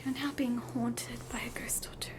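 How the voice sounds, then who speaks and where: A woman with an Australian accent whispering a gentle, reassuring ASMR roleplay as a spooky hospital doctor. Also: fairly high pitch, flat delivery, moderate pace, background noise. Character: soothing, spooky hospital doctor treating hauntings. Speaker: ASMR performer playing a doctor.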